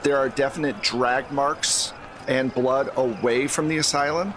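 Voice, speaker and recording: A man's voice: mid-range voice, steady tone, measured pace, a bit bright, slight background noise. A man running a tabletop roleplaying game, narrating and explaining in a conversational, improvised way. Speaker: game master.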